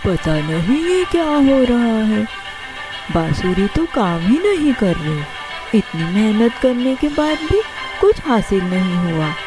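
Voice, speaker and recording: A woman's voice: medium pitch, sing-song. A woman voicing a sly, whining young boy in a children's cartoon, talking in an exclamatory way. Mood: dismayed. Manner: slow, exclamatory, talking to himself. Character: sly, whining young boy who steals a magic flute. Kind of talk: Hindi children's cartoon dialogue. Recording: music under the voice.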